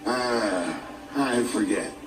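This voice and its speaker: Forgetful old dragon, fumbling words, roomy sound, music. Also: male voice, fairly low pitch, drawn-out words. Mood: flustered. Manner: flustered, trailing off. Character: fretful, forgetful male elder dragon. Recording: echoey distant game audio with music.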